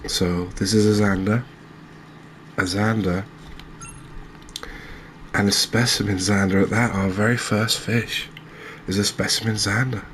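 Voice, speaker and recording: A man hosting a gaming channel with soft-spoken ASMR commentary, talking in a gentle, unhurried, halting way. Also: fairly low pitch, steady tone, slight background noise.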